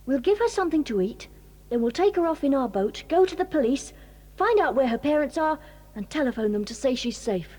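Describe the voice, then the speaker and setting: A woman with a British accent playing a sensible child who speaks calmly and decisively. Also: high-pitched, brisk.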